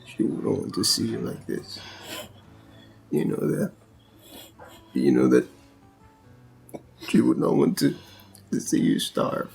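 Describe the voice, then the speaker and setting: An actor playing a gentle, anxious husband who pleads in a soft, hushed voice in a melodramatic film scene. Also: male voice, lowish voice, huge pitch swings, takes it slowly, vocal fry, pauses often, soft background music, exaggerated voice, low-quality recording. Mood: pleading.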